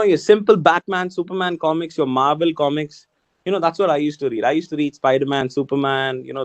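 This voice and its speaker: A man's voice: fairly high pitch, no room sound, dull audio. A man with an Indian English accent, a native Tamil speaker, talking to students in a casual, encouraging way. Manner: casual, encouraging, calls listeners man and guys. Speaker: alumni guest speaker. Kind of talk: motivational talk to students.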